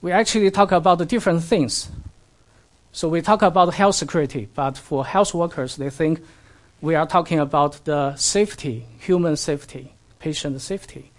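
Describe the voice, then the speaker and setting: A man whose first language is Chinese, giving an academic lecture in halting English with many fillers and asking the audience questions. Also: on the high side. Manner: halting non-native English with frequent fillers.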